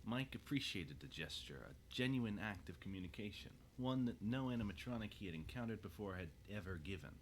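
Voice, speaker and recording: A man's voice: fairly low pitch, lively intonation, fairly quick, few pauses, slight background noise, rough recording. A man narrating a scripted audio drama as its storyteller.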